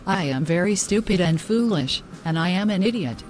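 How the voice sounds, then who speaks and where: A woman's voice: lowish voice, expressive, normal speed, a little mellow, a little hiss or hum, lightly acted. A woman playing a distraught, self-reproaching young woman in a scripted moral-story drama. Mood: self-loathing, anguished.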